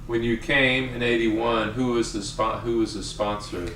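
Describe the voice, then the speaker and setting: A man interviewing for an oral history, asking gently phrased questions and listening attentively. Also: mid-range voice, steady tone, moderate pace. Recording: distant mic, roomy echo, background noise.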